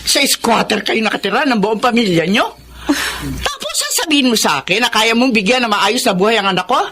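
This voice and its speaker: A woman's voice: on the high side, sing-song, fairly quick, fairly bright tone, slight background noise. An actress playing a domineering, scornful Filipino mother who scolds with mocking questions in a melodramatic radio drama. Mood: indignant, insulting.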